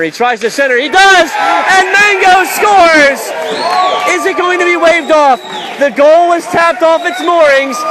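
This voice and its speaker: A man calling live ice hockey play-by-play for radio in an excitable, vivid, dramatic manner. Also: extremely high pitch. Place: indoor ice rink broadcast position. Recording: loud crowd and rink noise behind. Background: hockey crowd and rink ambience.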